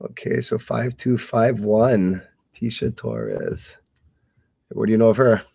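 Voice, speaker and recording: Gravelly, husky man chatting casually. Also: lowish voice, sing-song, slow, warm tone, no room sound. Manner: tentative, questioning. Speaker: fight commentary co-host. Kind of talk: casual chat.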